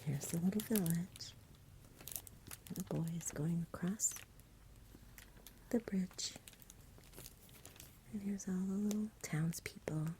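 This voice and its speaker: A woman softly reading a storybook in a hushed, breathy ASMR whisper with a gentle delivery. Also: lowish voice, slow pace, pauses often, slight background noise, rough recording. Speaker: reader, ASMR host.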